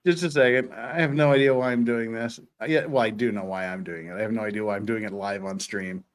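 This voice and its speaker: A man's voice: fairly low pitch, steady tone, fast. A man hosting a casual livestream, rambling and joking as he goes. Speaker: livestream host. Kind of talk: casual livestream banter and dramatic reading.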